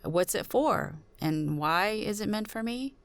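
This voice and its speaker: A woman's voice: mid-range voice, expressive, a little slow, no room sound, voice pushed up. A woman playing a wary, sarcastic ordinary woman in a supernatural suspense audio drama, with a skeptical, informal delivery.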